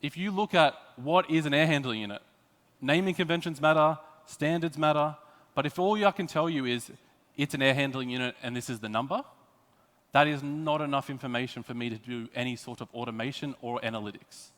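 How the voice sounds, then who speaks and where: A man's voice: mid-range voice, a little fast, fairly bright tone. An Australian man giving a prepared technical conference presentation, explaining things with emphatic phrasing. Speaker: conference speaker. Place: conference hall. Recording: echoey hall with long reverb.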